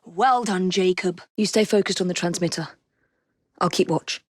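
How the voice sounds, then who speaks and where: A woman plays a young British female assassin in a video game. She is composed and determined, with a dry wit and formal, crisp phrasing. The recording is dry, with no room sound. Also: on the low side, moderate pace, no room sound, lightly acted. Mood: purposeful, calm. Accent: British English. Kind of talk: scripted video game voice lines.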